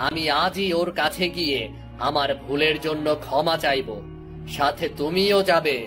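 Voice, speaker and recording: A man acts a gentle village husband and elder brother in a cartoon tale. He speaks with firm reproof and regret, over soft music. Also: on the high side, a little slow, lightly acted. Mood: reproving, remorseful.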